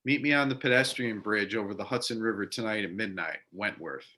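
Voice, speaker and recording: A man's voice: fairly low pitch, fairly quick, pushed and bright. A man narrating scripted stage directions for a readers theater drama.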